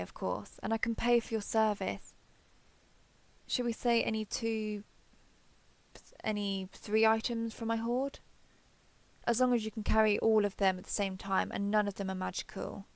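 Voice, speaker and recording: A woman playing an ancient, proud female dragon in human form in an audio roleplay, speaking in formal, measured, archaic phrasing. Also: medium pitch, flat delivery, vocal fry, no echo, close to an everyday voice. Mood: thoughtful, gracious. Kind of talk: scripted audio roleplay acting.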